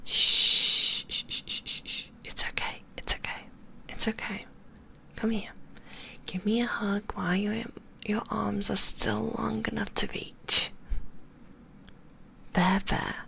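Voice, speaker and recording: A woman voicing a possessive, sweetly manipulative female magician, whispering in a soft, cooing and softly condescending way. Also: mid-range voice, level tone, slow pace, gravelly, pauses often, a little hiss or hum, heavily acted. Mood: soothing. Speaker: ASMR roleplay performer. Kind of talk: whispered ASMR roleplay.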